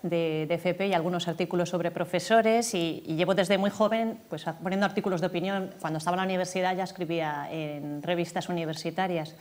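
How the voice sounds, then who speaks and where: A woman's voice: fairly low pitch, fairly even intonation, brisk, few pauses, darkish tone. A woman from Galicia, an author being interviewed in a TV studio, talking with many fillers and restarts. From Galicia, Spain. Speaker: author guest.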